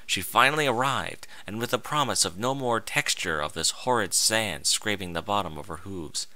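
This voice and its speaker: A man's voice: on the low side, moderate pace, sharp tone, no echo. A man narrating a scripted story in a theatrical, dramatic manner.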